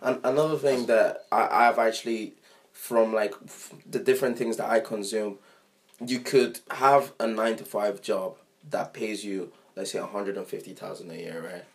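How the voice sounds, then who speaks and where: A man's voice: fairly low pitch, steady tone, moderate pace. A young man chatting on a podcast, emphatic and repeating his points. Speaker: podcast co-host. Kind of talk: casual chat.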